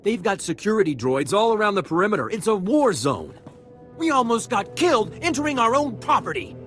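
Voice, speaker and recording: An actor playing an indignant, entitled settler in a video game, aggrieved and demanding. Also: male voice, high voice, very animated, fairly quick, fairly bright tone, faint music behind.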